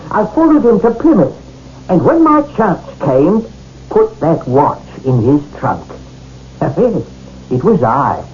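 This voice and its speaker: An actor playing a bitter, vengeful condemned English prisoner, mocking and theatrical in a radio drama. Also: male voice, fairly high pitch, a little mellow, a little hiss or hum. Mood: gloating. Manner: theatrical, mocking, swooping declamation. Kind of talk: 1970s radio drama acting. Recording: muffled old radio broadcast.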